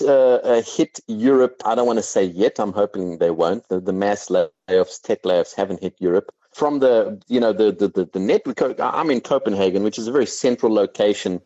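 South African male guest, animated, restarts phrases, dry sound. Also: on the low side, moderate pace, few pauses, no echo, dull audio. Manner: animated, repeats words when starting thoughts. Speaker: crypto commentator. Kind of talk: interview answers. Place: Copenhagen.

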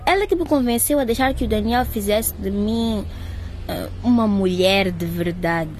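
A woman's voice: medium pitch, normal speed, darkish tone, slight background noise, natural voice, low-quality recording. A woman acting in a radio soap as a naive, caring, anxious teenage schoolgirl. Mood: regretful.

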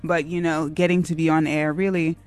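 A woman's voice: low-pitched, level tone, brisk. A young woman co-hosting a radio show in casual, bubbly chat, rambling with filler words. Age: young adult. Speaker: radio co-host. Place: radio studio. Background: faint music bed.

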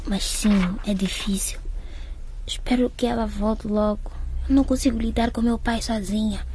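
A woman playing an anxious, hesitant schoolgirl in a radio drama, speaking in a hushed way. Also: fairly high pitch, steady tone, moderate pace, slight background noise, close to an everyday voice. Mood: troubled confiding. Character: anxious, hesitant schoolgirl unsure about a secret date. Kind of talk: scripted radio drama acting.

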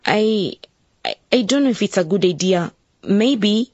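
A woman's voice: mid-range voice, animated, unhurried, a little mellow, no room sound. An actress playing a principled young female candidate who talks hesitantly but stands firm. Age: young adult. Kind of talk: radio drama acting. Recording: dull lo-fi radio drama recording.

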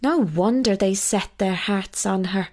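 A woman's voice: mid-range voice, measured pace, a little mellow, natural voice. A woman voicing a fretful old peasant mother who speaks. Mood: pitying.

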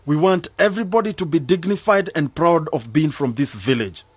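A man's voice: on the high side, a little fast, breathy edge, dry recording. An actor playing an earnest, persuasive young village man in a radio drama.

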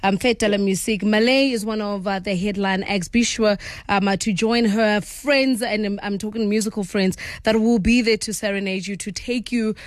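A South African woman hosts a casual radio interview in a chatty, encouraging manner. Her voice sits at a middle pitch, and the sound is very dry with no room echo. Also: fairly even intonation, moderate pace, hardly any pauses. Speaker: radio host.

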